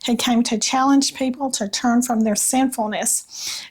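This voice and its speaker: A woman with a Southern US accent and a slightly raspy voice, chatting casually about faith in a gentle, halting way with pauses. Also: on the high side, steady tone. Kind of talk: casual devotional chat with read-aloud passages.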